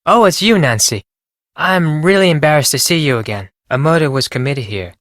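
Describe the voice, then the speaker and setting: A man's voice: on the high side, expressive, normal speed, fairly bright tone, no echo, studio quality. A man playing an anxious, earnest teenage Japanese exchange student with a Japanese accent and formal, careful phrasing, in video game lines. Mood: polite, uneasy.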